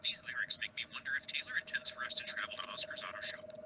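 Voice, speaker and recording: A man doing a hushed, plotting voice in the head. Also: racing, close to an everyday voice. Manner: hushed steady whisper. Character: whispering man, an unseen adventurer in the player's head. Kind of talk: video game voice acting.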